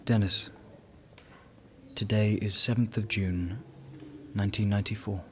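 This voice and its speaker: Male actor as knowing angel, consoling and measured; lowish, slow radio drama delivery. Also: fairly even intonation, slight background noise, natural voice. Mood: solemn, gentle. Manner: measured, consoling, gently amused. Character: gentle, knowing male angel guiding the dying. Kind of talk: radio play acting.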